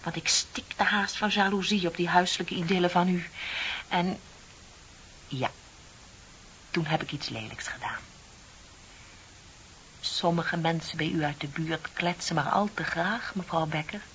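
A woman's voice: on the low side, unhurried, pauses often, fairly bright tone. Radio drama, a lonely, needling middle-aged working woman; confiding, sardonic, on dull old tape with faint hiss. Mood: confessing, needling. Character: bitter, lonely middle-aged female office worker, needling a colleague. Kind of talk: Dutch radio play acting.

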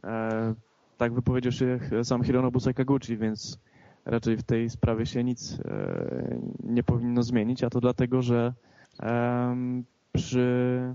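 A man explaining things haltingly in a casual video game discussion. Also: mid-range voice, warm tone, dry recording. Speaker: podcast panelist. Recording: dull, dry lo-fi recording.

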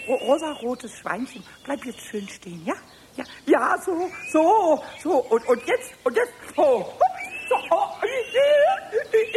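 An actor playing a cheeky, quick-tempered little male goblin in a 1970s radio play, with a squeaky, shrill voice, bossy and squealing. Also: noisy background, exaggerated voice.

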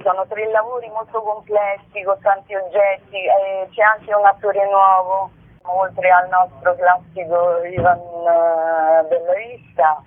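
A woman's voice: mid-range voice, flat delivery, slow pace. Woman, theatre artist giving a radio interview over a thin phone connection. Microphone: telephone. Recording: narrow tinny phone line.

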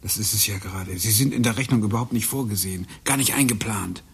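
An actor playing an anxious, brooding, paranoid husband in a radio play, speaking tersely. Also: male voice, on the low side, fast talker, husky. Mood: brooding, uneasy. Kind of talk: naturalistic German radio play dialogue.

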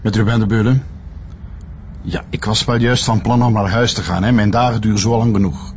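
An actor playing a formal, tired official with a Flemish accent and a hesitant manner, on the phone in a TV crime drama. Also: male voice, fairly low pitch, steady tone, brisk, darkish tone, dull audio, slight background noise, natural voice. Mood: tired, businesslike.

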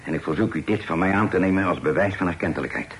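An actor in a radio play voicing a proud sailor turned outlaw, speaking in a low, earnest and emphatic way. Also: male voice, fast talker, slight background noise. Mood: defiant.

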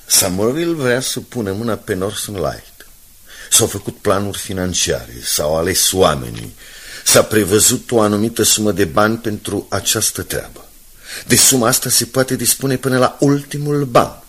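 Male senior journalist, radio drama: low-set husky voice, grave, measured, shrewd adviser. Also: expressive, fairly bright tone. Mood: grave, reasoning. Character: Maitland, sober, shrewd senior newspaperman, steady adviser. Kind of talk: Romanian radio drama acting.

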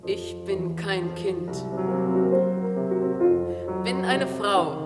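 A woman's voice: mid-range voice, extremely slow. An actress playing a defiant young woman, delivering a theatrical song in a radio play. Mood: defiant, solemn. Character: defiant young woman declaring herself no longer a child. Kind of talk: slow theatrical song with piano. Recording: reverberant, loud piano behind.

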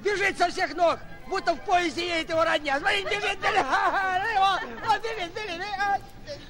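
Shrill, excitable male train passenger. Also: normal speed, some chatter behind. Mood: gleeful. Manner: breathless repetition, exclamatory. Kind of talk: 1940s Soviet radio play acting. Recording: old 1940s radio recording, faint music.

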